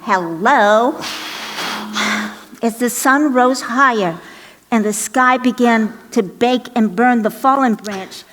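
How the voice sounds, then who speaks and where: A woman storyteller narrating a children's parable theatrically, expressive and deliberate, with playful asides. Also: a little high, poor audio.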